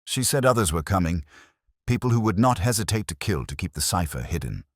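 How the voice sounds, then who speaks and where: Man voicing a weakened, deep-voiced imperious viscount. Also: sing-song, brisk, dry recording, studio quality. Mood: weary, fearful. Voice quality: croaky, faint.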